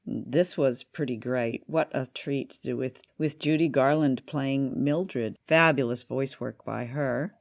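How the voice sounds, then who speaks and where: A man from Vancouver with a Canadian accent, hosting a conversational review show in a measured, slightly hesitant way. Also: mid-range voice, gravelly, dry recording, clean studio sound. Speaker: podcast host. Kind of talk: conversational radio drama review.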